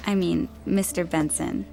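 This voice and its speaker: An actress playing a polite, discreet, slightly harried office assistant in a radio drama. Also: female voice, fairly low pitch, steady tone, slow, gravelly, warm tone, faint music behind. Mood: guarded.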